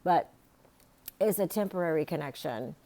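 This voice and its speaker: Raspy hoarse lady doing a tarot reading, lowish dark voice, lots of likes and ums. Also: expressive, moderate pace. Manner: rambling, restarts often, many fillers like "like" and "um". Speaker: tarot reader.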